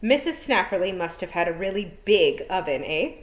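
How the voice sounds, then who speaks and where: A woman voicing a brisk, sharp-tongued adult witch, crisp and sardonic, in a roomy space. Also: lowish voice, big pitch swings. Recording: roomy echo.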